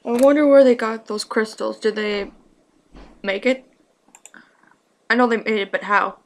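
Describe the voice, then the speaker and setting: A woman's voice: fairly high pitch, unhurried. A woman hosting casual reaction commentary, thinking aloud in an informal way. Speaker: reaction host.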